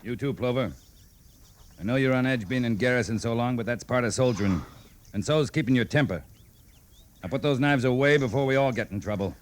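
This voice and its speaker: An actor playing a firm, dry-humored cavalry captain in an old-time radio western, plain-spoken and clipped. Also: male voice, mid-range voice, brisk, a little hiss or hum, lightly acted.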